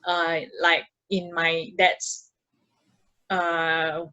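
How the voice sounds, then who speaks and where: A woman with an Indonesian accent tells personal stories as a podcast guest. Her voice sits a little low, and she goes very slowly and haltingly, often repeating words as she casually explains. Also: level tone, bright, forceful tone, clear, resonant tone, muffled recording. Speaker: podcast guest, mindfulness practitioner.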